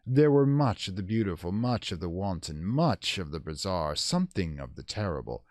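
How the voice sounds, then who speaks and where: A man narrating a classic gothic horror tale in a theatrical, measured, formal storytelling style. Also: sits low, big pitch swings, darkish tone, no echo, clean studio sound. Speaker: audiobook narrator.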